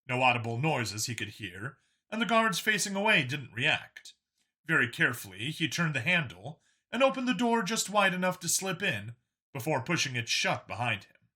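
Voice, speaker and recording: Bright, forceful male story narrator. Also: medium pitch, husky. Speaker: audiobook narrator. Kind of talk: dramatic scripted narration of science fiction.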